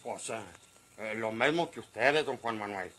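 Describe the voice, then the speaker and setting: A man's voice: lowish voice, moderate pace, pushed and bright. An actor playing a nervous, evasive countryman with a hesitant manner and a rural northern Mexican accent in an old radio serial. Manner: hesitant, stammering. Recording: echoey, distant, very noisy radio recording.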